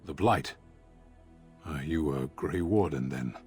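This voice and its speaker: A man playing a stoic, grimly resigned captive warrior in a video game, speaking in terse, solemn, measured words. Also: rumbling low, a little mellow, soft background music. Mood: solemn, guarded.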